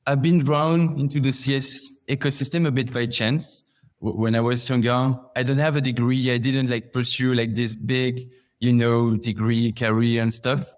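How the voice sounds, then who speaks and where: A man's voice: medium pitch, flat delivery, moderate pace. A man from Belgium talking conversationally as a guest in a podcast interview. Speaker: podcast guest, customer success manager.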